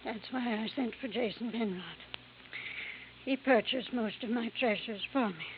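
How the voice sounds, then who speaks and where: A woman playing a gravely ill, gracious old grandmother in a radio drama, earnest and confiding. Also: fairly high pitch, moderate pace, lightly acted. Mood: confessing. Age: older. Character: frail, gracious old grandmother on her deathbed, candid. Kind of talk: old-time radio acting. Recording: muffled vintage radio recording, faint noise.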